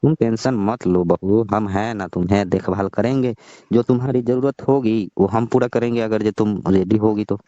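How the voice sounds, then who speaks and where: Low, mellow father-in-law, acted by a man. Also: moderate pace, no echo, lightly acted. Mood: reassuring, coaxing. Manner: coaxing, reassuring. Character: placating, pride-bound middle-aged father-in-law. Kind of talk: staged phone-call drama in colloquial speech. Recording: dull, lo-fi dry call audio.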